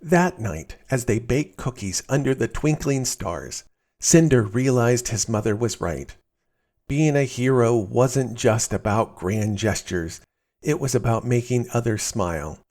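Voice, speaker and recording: A man reading a scripted children's story as narrator, in an expressive storytelling style. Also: mid-range voice, unhurried.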